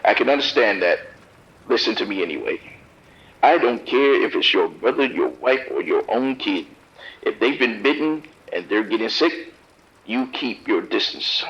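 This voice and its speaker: A man's voice: mid-range voice, expressive, normal speed, a bit bright. An actor playing an overwhelmed, grave policeman, blunt and commanding in a horror audio drama, heard over a dull phone line. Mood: stern, grave. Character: overwhelmed, grim, firm male police officer. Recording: dull, band-limited phone-line sound.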